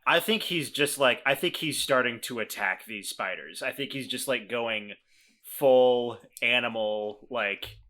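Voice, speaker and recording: Bright-voiced rambling man player. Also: medium pitch, measured pace. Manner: rambling, restarts often. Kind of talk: casual tabletop play.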